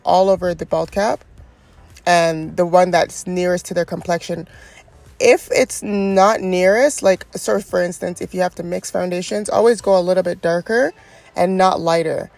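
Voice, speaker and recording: A woman's voice: lowish voice, fairly even intonation, normal speed, creaky, darkish tone, no echo. A young woman with a stuffy, congested voice from a cold, chatting through a step-by-step wig install tutorial and encouraging viewers. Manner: chatty and encouraging, frequent ums. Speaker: wig stylist and beauty vlogger.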